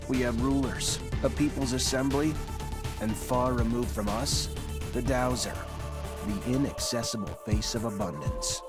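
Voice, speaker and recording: An actor playing an earnest, composed young cadet in a sci-fi video game, speaking in a measured, solemn way. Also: male voice, mid-range voice, natural voice. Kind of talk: scripted game narration and dialogue. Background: background music.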